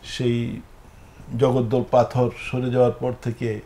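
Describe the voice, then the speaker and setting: A male talk show guest from Gazipur speaks with a Bangladeshi accent. His voice sits at a medium pitch, and he talks in a conversational, reminiscing style. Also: completely flat delivery, slow pace, mellow. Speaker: guest singer.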